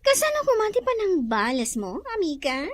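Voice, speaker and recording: A woman's voice: high voice, wildly swooping pitch, a little fast. A woman playing an inquisitive female friend in an Ilocano drama, on a noisy track. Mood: teasing, inquisitive. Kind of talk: Ilocano radio-style drama acting.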